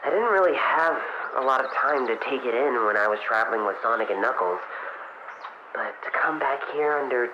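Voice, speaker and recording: A man's voice: medium pitch, animated, fairly quick. A man voicing an earnest young male fox adventurer recording audio drama logs, speaking hesitantly and trailing off mid-thought through a radio-log filter. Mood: reflective. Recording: muffled lo-fi radio sound, clear background noise.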